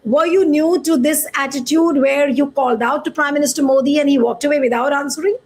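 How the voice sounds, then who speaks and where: Host: woman, bright high register, formal news manner, pushes follow-ups. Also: steady tone, a little fast. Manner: formal, pressing follow-up questions. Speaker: show host. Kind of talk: news interview and programme intro.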